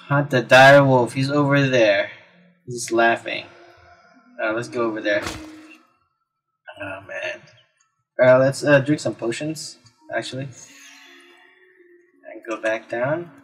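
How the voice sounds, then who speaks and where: A man's voice: mid-range voice, takes it slowly, long pauses, a bit bright, low-quality recording. A man giving casual gameplay commentary, exclaiming and reacting as he talks to himself. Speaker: let's play gamer. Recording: game music behind the voice. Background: video game music.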